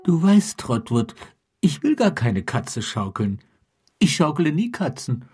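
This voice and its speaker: An actor playing a childlike, good-natured eccentric gentleman with a husky voice, naive and emphatic, in a German radio drama. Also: fairly high pitch, very animated, measured pace, heavily acted. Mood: puzzled protest. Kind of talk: German radio play acting.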